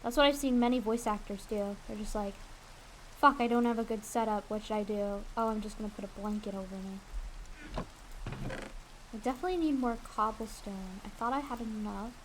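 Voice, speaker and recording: A woman's voice: mid-range voice, fairly quick, a little hiss or hum, rough recording. A young woman chatting casually and candidly on a livestream, rambling along with easy swearing as she games. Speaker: streamer and aspiring voice actress. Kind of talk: casual livestream chat while gaming. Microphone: USB desk condenser mic.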